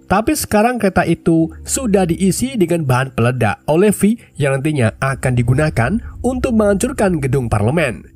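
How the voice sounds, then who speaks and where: A man's voice: fairly high pitch, a little mellow. A man narrating a film recap in a casual scripted style. His voice is stuffy and nasal from a cold.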